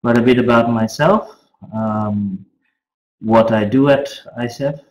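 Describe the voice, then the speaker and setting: A middle-aged man gives an informal academic presentation as a finance professor, speaking haltingly with frequent pauses. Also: mid-range voice, darkish tone, highs cut off. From Australia. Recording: roomy, echoey webcam audio.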